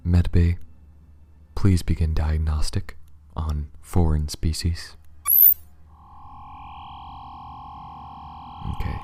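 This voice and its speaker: A man playing a gentle, reassuring, slightly awkward alien starship dweller in a soft, half-whispered, halting ASMR roleplay. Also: a bass voice, level tone, husky, exaggerated voice. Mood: careful, attentive. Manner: hushed, halting, soothing. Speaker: character in audio roleplay.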